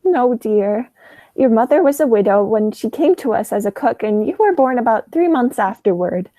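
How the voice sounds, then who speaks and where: A woman's voice: a little high, expressive, moderate pace, mellow, dry recording, lightly acted. A young woman playing a sweet, genteel elderly spinster aunt in a radio play, politely dithering. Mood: gentle, chatty.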